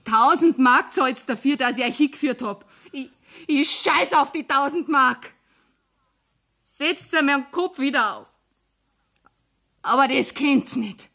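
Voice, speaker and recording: A high-pitched, brash young Bavarian tavern woman. She is drunk and bitter, and taunts in coarse dialect in radio-play style. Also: unhurried, long pauses, pushed and bright, heavily acted. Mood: bitter, defiant. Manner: brash, mocking, coarse-mouthed. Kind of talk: 1970s German radio play acting.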